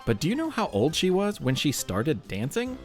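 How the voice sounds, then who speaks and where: A man's voice: a little high, huge pitch swings, normal speed, loud music behind the voice. A man hosting a children's show, narrating from a script in a playful, theatrical way with wry asides. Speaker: podcast host.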